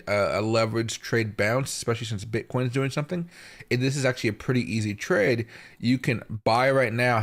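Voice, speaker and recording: A man with a hoarse voice from a chest infection, hosting a live market commentary stream at an unhurried pace with frequent pauses and fillers. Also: mid-range voice. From Seattle area, US. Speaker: crypto market livestream host.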